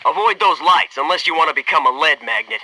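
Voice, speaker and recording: A man's voice: fairly high pitch, fast talker, sharp tone, slight background noise, rough recording. A man voicing a cocky, wisecracking American special forces soldier for a video game, with slangy military banter and an American accent. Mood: alert, focused. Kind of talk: scripted video game voice lines.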